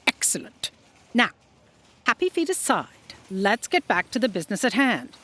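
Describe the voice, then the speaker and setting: A woman's voice: medium pitch, very animated, moderate pace, a bit bright, a little hiss or hum. A woman playing a pompous, impatient commanding officer in a comic sci-fi audio drama. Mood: dismissive. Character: imperious, impatient female boss, coffee-craving and dismissive.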